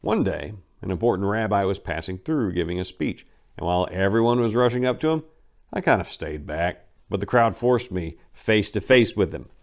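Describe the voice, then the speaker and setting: Low-pitched man telling a story. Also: animated, normal speed. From southern United States (Memphis). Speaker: narrator reading a personal memoir aloud. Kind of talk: dramatic reading of first-person narration.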